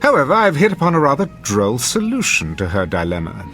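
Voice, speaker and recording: An actor playing an arrogant, cynical drama critic in a radio drama, haughty and articulate. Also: male voice, mid-range voice, wildly swooping pitch, fairly quick, fairly bright tone, faint music behind. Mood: droll, condescending.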